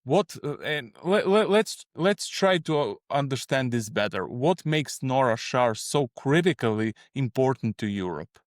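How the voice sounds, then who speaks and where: Interviewer from Croatia, male, mid-pitched, dry sound, hesitant questions. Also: animated, dry recording. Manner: searching, halting questions. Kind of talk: investor interview.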